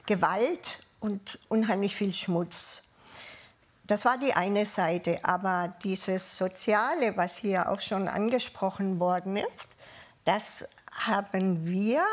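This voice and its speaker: A woman with a southern German accent, a guest recounting personal experiences in a live conversation. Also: medium pitch. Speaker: interview guest, former police officer.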